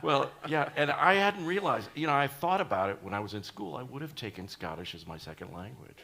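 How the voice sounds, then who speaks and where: A man hosting a radio show, interviewing musicians with halting enthusiasm and frequent laughter. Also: medium pitch, expressive, gravelly, very noisy. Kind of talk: casual interview.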